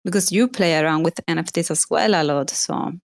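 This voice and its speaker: Woman, slightly low voice, enthusiastic casual talker, dry close recording. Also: a little fast, no room sound. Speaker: speaker in an online audio space. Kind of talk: casual chat.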